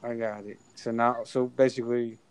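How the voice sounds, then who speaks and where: A man's voice: mid-range voice, flat delivery, normal speed, clear, resonant tone. A man chatting casually in an online group hangout, asking lots of questions. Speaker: regular hangout participant.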